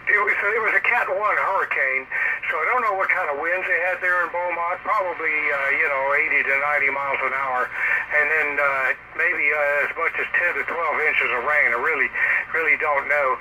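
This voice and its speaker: Male ham operator, slightly high voice, relaxed conversational delivery over a radio buried in loud noise. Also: a little fast. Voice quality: radio-transmitted voice. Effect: shortwave radio transmission. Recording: very noisy radio static, speaker playback.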